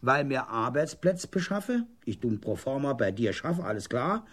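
An actor playing a scheming, grandiose middle-aged civil servant with a Saarland accent, pompous and dreamy in a radio drama. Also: male voice, mid-range voice, sing-song, moderate pace, breathy edge. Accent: Saarland German.

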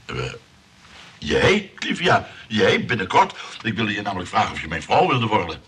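An actor playing a lonely, pompous suitor in a comic radio play, stammering and repeating himself with dramatic pauses. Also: male voice, on the low side, huge pitch swings, a bit bright, slightly rough, full character voice. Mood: pleading suitor. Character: lonely, pompous, pleading, spiteful male suitor.

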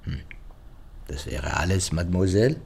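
Radio crime drama: courteous, sharp-witted sleuth, very varied melody, formal questioning with deliberate pauses. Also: male voice, low voice, expressive, gravelly, slightly rough, full character voice. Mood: inquisitive. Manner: formal, probing, ponderous with pauses and murmurs. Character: shrewd, courteous male detective questioning witnesses.

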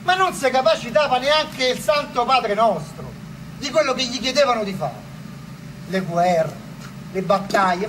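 A man's voice: very high-pitched, distant mic, noisy background. A man acting a troubled nineteenth-century Pope in a historical drama, declamatory. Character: troubled Pope and Roman men in 1849. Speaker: stage actor and narrator. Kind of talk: theatrical historical narration and dialogue.

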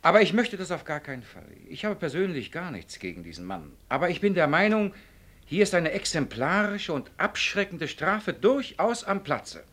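Stern, emphatic director with sweeping intonation. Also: male voice, fairly high pitch, sing-song, normal speed, fairly bright tone. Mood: stern, insistent. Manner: formal, emphatic, speechifying. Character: stern, unyielding company director, self-important. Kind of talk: German radio play acting.

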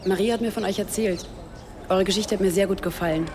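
An actress playing a brash, tactless German journalist of about thirty in a radio drama. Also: female voice, mid-range voice, quick pace, darkish tone, faint music behind, lightly acted. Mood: breezy pitching. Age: 30s.

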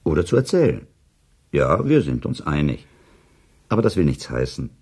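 A man's voice: very deep voice, sing-song, normal speed, a little mellow, breathy edge, exaggerated voice. An actor playing a cynical, impatient male listener who contradicts in a dry, argumentative manner, in a literary radio play. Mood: sardonic, world-weary.

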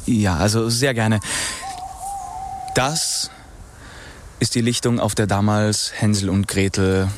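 A man's voice: lowish voice, level tone, slow pace, pauses often, noisy background. An actor playing an obsessive male fairy-tale archaeologist, lecturing insistently and emphatically in a German radio crime drama. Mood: eager, earnest.

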